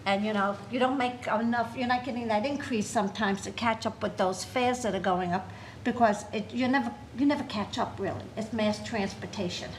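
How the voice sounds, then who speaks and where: An older woman with a New York accent speaking at a city committee meeting, halting, with many fillers and restarts. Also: fairly high pitch, slight background noise, rough recording. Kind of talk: public meeting discussion.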